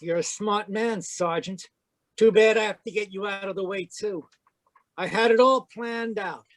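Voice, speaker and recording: A man's voice: sits high, unhurried. An actor playing a cold, scheming male murderer with a gun in an old-time radio drama, curt and threatening. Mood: menacing. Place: remote online performance from a close mic.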